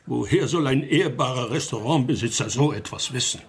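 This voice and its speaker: Ein Schauspieler spielt in einem deutschen Hörspiel einen jovialen, verschmitzten Barbesitzer mittleren Alters, der umgangssprachlich poltert. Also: male voice, mid-range voice, brisk, close to an everyday voice. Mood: jovial, sly. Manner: chuckling, colloquial, blustery. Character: jovial, cagey bar owner with contempt for swindlers. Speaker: restaurant owner in a radio drama. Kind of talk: German radio play acting.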